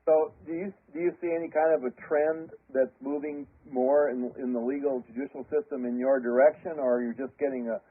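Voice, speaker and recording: A man's voice: medium pitch, fairly even intonation, brisk, few pauses. A man hosting a radio interview in a casual, conversational way. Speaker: radio show host, interviewer. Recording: dull, lo-fi radio sound.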